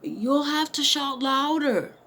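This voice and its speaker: A woman voicing a bold, fervent male prophet with loud, theatrical declamation in a dramatic scripture reading. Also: sits high, takes it slowly, voice pushed up, exaggerated voice. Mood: mocking, scornful.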